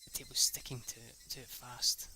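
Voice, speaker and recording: A young man reading a visual novel aloud in the first person, halting and correcting himself, with casual swearing now and then. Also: medium pitch, huge pitch swings, a little hiss or hum, rough recording. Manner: breaks off with casual swearing asides. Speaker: amateur reader voicing a visual novel. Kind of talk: casual first-person read-through of game text. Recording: loud game music behind voice.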